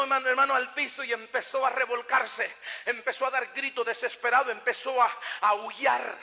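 Male preacher, very high-pitched, narrow melodic range, emphatic storytelling testimony, long hall reverb. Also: bright, forceful tone. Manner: emphatic, repeats phrases, acts out dialogue. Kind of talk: fervent sermon testimony, dramatic storytelling.

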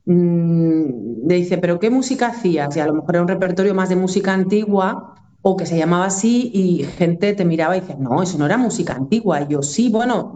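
Mellow northern Spanish woman, talking discursively. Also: fairly low pitch. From Aragón, Spain. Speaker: musician and singer being interviewed. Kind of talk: casual reflective interview talk.

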